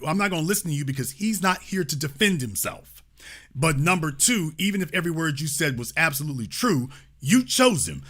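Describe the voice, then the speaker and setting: A man's voice: on the high side, sharp tone. A man hosting a call-in show, lecturing in an emphatic way. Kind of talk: talk radio commentary.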